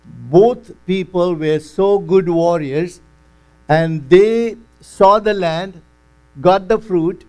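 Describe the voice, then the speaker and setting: Man, preacher, high voice, very slow. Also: a little mellow, rough recording. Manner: slow, deliberate teaching with acted quotes. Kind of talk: sermon.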